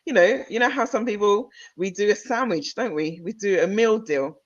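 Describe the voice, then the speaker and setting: A woman's voice: medium pitch, normal speed, no echo. A woman who grew up in the UK, hosting a casual interview over a video call. She chats loosely, laughs often, and uses many fillers and restarts. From United Kingdom. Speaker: host. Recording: dull, dry video call audio.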